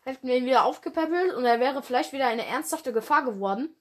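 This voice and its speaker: A woman's voice: sits high, expressive, fast talker. A young woman hosting her own fan podcast, rambling casually with lots of fillers and slang, her nose stuffed up from a cold. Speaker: podcast host.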